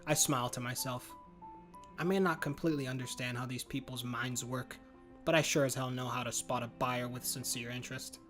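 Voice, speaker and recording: A man's voice: medium pitch, steady tone, fairly quick, fairly bright tone, soft background music. A man reading a horror visual novel aloud in first person, earnest and stumbling now and then over words. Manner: earnest, muttering inner monologue with slips. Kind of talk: first-person story narration.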